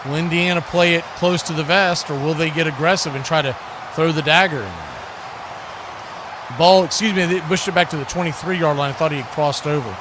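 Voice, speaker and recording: A man with an American accent doing excitable live play-by-play commentary of American football. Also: fairly high pitch, brisk. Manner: excitable, calling action as it happens. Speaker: sports play-by-play announcer. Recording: clear background noise.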